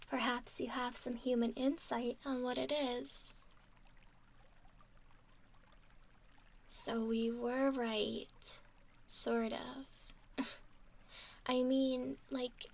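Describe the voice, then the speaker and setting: Casting: woman as a curious, doting mermaid for ASMR, gentle and playful, unhurried, higher-pitched with soft fry, often whispering. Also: full character voice. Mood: playfully smug. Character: curious, affectionate young female mermaid named Valerie.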